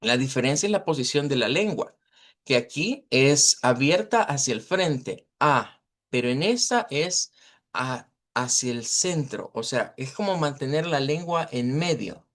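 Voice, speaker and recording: A man with a Latin American Spanish accent giving an informal language lesson, explaining pronunciation deliberately and often checking understanding with short tag questions. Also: mid-range voice, lively intonation, a bit bright. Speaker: language teacher.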